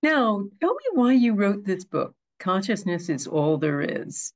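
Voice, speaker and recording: A woman's voice: mid-range voice, very animated, a little slow. A woman hosting a podcast interview, asking brief, direct questions. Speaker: podcast host.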